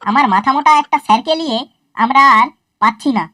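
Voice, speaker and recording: A woman voicing a cheeky, foul-mouthed young schoolboy in a cartoon, talking in a quick, sassy and crude way. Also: fairly high pitch, pushed and bright, no echo, studio quality. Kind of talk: cartoon voice acting.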